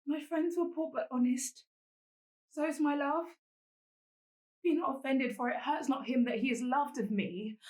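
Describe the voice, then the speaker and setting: A woman's voice: high voice, normal speed, long pauses, darkish tone, lightly acted. A woman playing a humble, lovesick young woman who pleads earnestly and with passion in a Shakespearean stage monologue. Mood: earnest pleading. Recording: echoey room.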